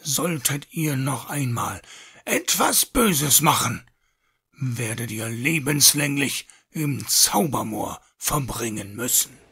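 A stern warden making formal proclamations in a radio play. The voice is creaky and sharp, with unhurried, swelling solemnity. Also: male voice, medium pitch, expressive, heavily acted. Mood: solemn warning. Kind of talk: German audio drama acting.

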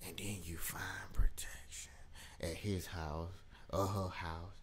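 A man's voice: low voice, fairly even intonation, takes it slowly, slight background noise. An American man hosting a podcast, chatting casually as he reacts to videos. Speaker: podcast host.

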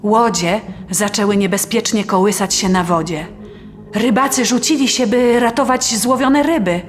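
A woman's voice: medium pitch, moderate pace. A woman narrating a scripted fairy tale as a storyteller. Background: faint music.

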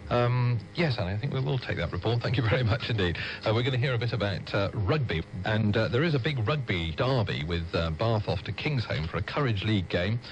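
A man's voice: lowish voice, lively intonation, a little fast, few pauses, dull audio, a little hiss or hum. A man presenting live radio, ad-libbing in a hesitant, stumbling way.

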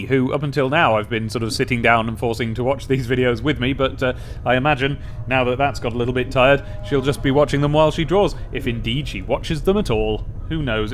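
A man's voice: medium pitch, a bit bright. A young British man giving casual game commentary and reading aloud, chatty, rambling and wry, close on a desk mic. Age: young adult. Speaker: let's play host. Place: small room at home or office, indoors. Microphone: desk microphone with pop filter, close.

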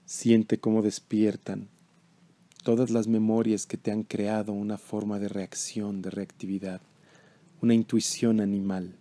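A man leading a guided meditation in a calm, measured, instructional way. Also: on the low side.

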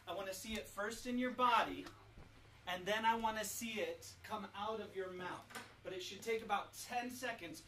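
A woman's voice: medium pitch, a bit bright. A woman hosting a casual family livestream, giving children short coaching instructions like a drama leader. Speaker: host leading a family Bible activity. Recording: noisy, roomy sound, distant mic.

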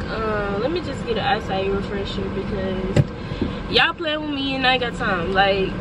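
A woman's voice: on the high side, far from the mic. A nineteen-year-old woman, stuffed up with a cold, chatting casually and full of slang as she vlogs from her car. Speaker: lifestyle vlogger. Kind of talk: casual vlog chat. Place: parked car. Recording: car camera with loud road noise.

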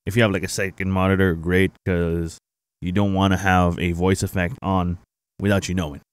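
A young man hosting a casual software tutorial, explaining things informally to viewers on a close microphone. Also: sits low, little pitch movement, moderate pace, clean tone, no room sound. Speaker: tutorial host, gaming streamer. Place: indoor home streaming setup. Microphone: close boom-arm microphone.

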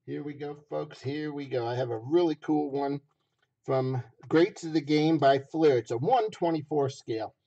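A man hosting a livestream auction with folksy, repetitive casual sales patter, his voice rough, raw and a little hoarse. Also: fairly high pitch, normal speed, warm tone, highs cut off. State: slightly hoarse.

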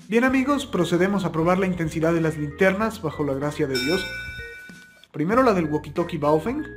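A young man speaking in a casual, chatty, folksy way as he hosts a product-test vlog. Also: sits high. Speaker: video host. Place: plain indoor room, also outdoors at night.

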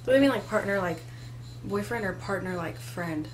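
A woman's voice: medium pitch, animated, a little fast, a little hiss or hum, low-quality recording. A woman in her twenties chatting casually to a webcam in a small room, with lots of "like" and "um" fillers. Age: 20s. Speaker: co-host. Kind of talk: casual chat. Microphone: webcam at desk distance.

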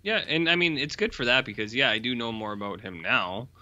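Animated bright-voiced man, muffled audio. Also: medium pitch, fairly quick, no room sound. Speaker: podcast co-host. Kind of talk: casual banter.

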